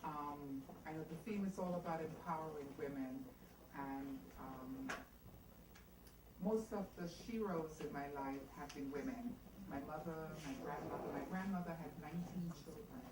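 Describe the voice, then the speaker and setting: A middle-aged woman from Trinidad and Tobago, a judge giving an unhurried, storytelling speech at a community event. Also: deep voice. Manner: warm storytelling, unhurried, laughs easily. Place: community center hall. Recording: echoey hall from far off, noisy.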